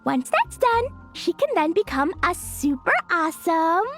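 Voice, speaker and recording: A woman's voice: very high-pitched, big pitch swings, measured pace, loud music behind the voice, heavily acted. A woman playing an innocent, eager little girl in a video game, earnest, bubbly and easily confused. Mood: excited.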